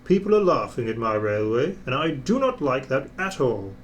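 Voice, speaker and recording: A man's voice: mid-range voice, big pitch swings, moderate pace, a little hiss or hum, close to an everyday voice. Man as railway boss, authoritative, reproving yet kindly, storybook character.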